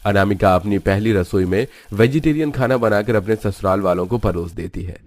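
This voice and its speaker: A man's voice: on the low side, moderate pace, a little mellow. A man narrating a Hindi family cartoon story in a dramatic storytelling style.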